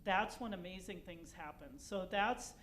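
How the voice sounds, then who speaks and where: A woman's voice: lowish voice, moderate pace, fairly bright tone. Emphatic woman on a panel. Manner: emphatic, upbeat. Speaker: research vice chancellor on a panel. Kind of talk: unscripted panel remarks.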